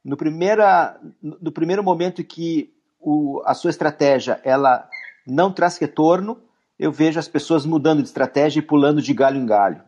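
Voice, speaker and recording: A man's voice: fairly high pitch, normal speed, a little mellow, slightly rough, muffled recording. A man with a Brazilian Portuguese accent hosting an interview in a friendly, chatty way, often restarting phrases. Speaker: host. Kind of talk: podcast interview.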